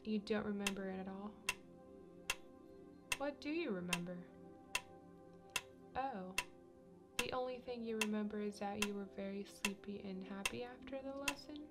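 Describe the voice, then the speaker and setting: Soft, commanding woman hypnotist with metronome. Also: medium pitch. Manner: slow, soft, repetitive and gently commanding; drops into whispers at times. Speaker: hypnotist playing a piano teacher. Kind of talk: ASMR sleep hypnosis roleplay. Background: ticking metronome and music.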